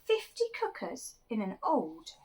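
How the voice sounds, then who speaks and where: A woman reading a rhyming children's picture book aloud, expressively and with deliberate dramatic emphasis. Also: on the high side, wildly swooping pitch, over music. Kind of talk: animated children's story reading, rhyming verse.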